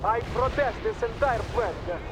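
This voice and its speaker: High-pitched, impatient Russian army captain. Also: male voice, fairly quick. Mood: frustrated, defiant. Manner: urgent, argumentative, clipped. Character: hot-headed, impatient Soviet army captain. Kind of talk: scripted video game cutscene acting. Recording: loud battle and vehicle noise.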